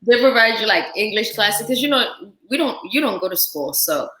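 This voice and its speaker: A woman from Africa, a guest chef telling stories in a lively, laughing casual chat. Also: mid-range voice, fairly quick, echoey room, rough recording. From Central Africa. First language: French. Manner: lively storytelling with frequent laughter.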